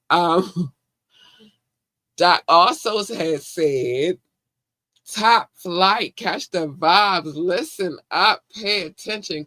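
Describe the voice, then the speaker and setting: A man with a Baltimore accent hosting a podcast, chatting casually and warmly shouting out his listeners in slangy talk. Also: a little high, no room sound. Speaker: podcast host. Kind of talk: casual live-stream chat.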